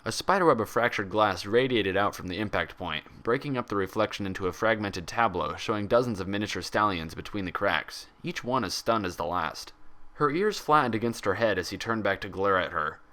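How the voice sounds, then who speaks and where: A man reading a story aloud as scripted, expressive narration. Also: lowish voice, a little fast. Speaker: fan fiction reader.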